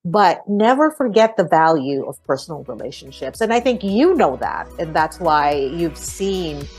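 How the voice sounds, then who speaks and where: A woman's voice: low-pitched, very animated, unhurried, a little mellow, soft background music. A woman in her sixties hosting a podcast, answering questions in a thoughtful, earnest and encouraging way. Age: 60s. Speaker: podcast host.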